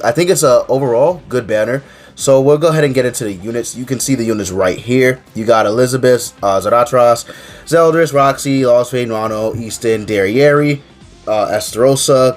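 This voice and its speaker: A young man with a stuffy nose from a cold, chatting casually about a mobile game in a rambling, filler-heavy way. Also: medium pitch. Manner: rambling, filler-heavy, keeps saying "to be honest". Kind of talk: casual game commentary to camera.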